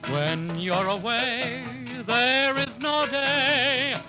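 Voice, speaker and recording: A man's voice: extremely high pitch, drawn-out words, rough recording. A man singing a romantic ballad on an old radio broadcast. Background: orchestral music.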